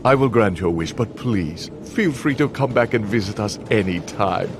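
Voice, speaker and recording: A sinister master of ceremonies from a video game, acted by a man. He narrates with theatrical grandeur and gloating menace, his pitch roaming widely from line to line. The old recording carries clear noise. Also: lively intonation, lightly acted. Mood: mock kindly. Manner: grandiose, theatrical, drawn-out delivery. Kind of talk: dramatic villain monologue and narration. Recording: heavily noisy old game audio.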